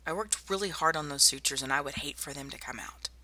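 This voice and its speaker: Woman acting as a tough apocalypse survivor, bright and creaky. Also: sits low, quick pace, no room sound, heavily acted. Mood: calm, guarded, caring. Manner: soft-spoken, intimate, addressing one listener. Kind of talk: ASMR roleplay audio drama.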